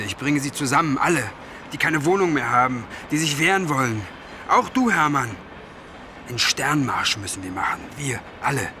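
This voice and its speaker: A male actor plays an idealistic drifter in a radio drama. His voice sits at a middle pitch and he talks in clipped, insistent phrases. Urban noise is plainly heard around him. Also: pushed and bright, lightly acted. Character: earnest homeless male agitator, dreaming of protest march. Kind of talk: German radio drama acting.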